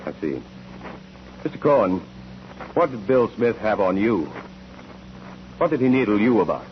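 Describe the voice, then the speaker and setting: An actor playing a formal, relentless courtroom examiner who questions witnesses deliberately in a 1940s radio drama. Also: male voice, fairly low pitch, very animated. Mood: pressing. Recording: muffled, noisy old 1940s radio recording.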